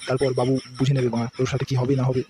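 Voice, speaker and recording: Man voicing a rapid, flat-toned scheming cartoon henchman. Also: mid-range voice, slight background noise, natural voice. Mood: grumbling, menacing. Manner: grumbling, sly, crude. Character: scheming, foul-mouthed male servant and henchman of a politician. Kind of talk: comedy cartoon dialogue.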